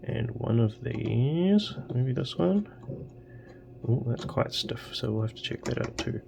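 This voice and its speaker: A man narrating casually and haltingly as he tinkers at a workbench, thinking aloud. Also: mid-range voice, lively intonation, gravelly, darkish tone, slight background noise. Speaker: host of electronics tinkering videos.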